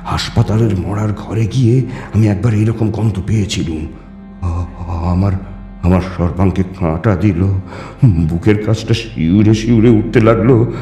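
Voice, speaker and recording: A man's voice: on the low side, fairly even intonation, mellow. Echoing male ghost-story narrator, theatrical. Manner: theatrical, suspenseful, with deliberate repetitions and pauses. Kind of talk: dramatic first-person ghost story reading. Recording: echoing reverb with music underneath. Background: background music.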